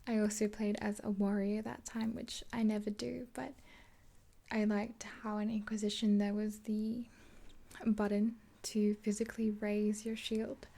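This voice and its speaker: A woman in her twenties whispering gently and unhurriedly in a casual, rambling ASMR roleplay, close to the mic. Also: medium pitch, little pitch movement, measured pace. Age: 20s. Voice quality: breathy whisper. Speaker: ASMR roleplay performer as makeup artist. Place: small indoor room, dark backdrop.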